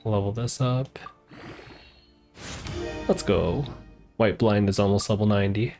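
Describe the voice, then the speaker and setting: A man's voice: on the low side, unhurried, creaky, a little mellow. A man who is a game streamer, chatting casually during gameplay. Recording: game music behind the voice. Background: video game music.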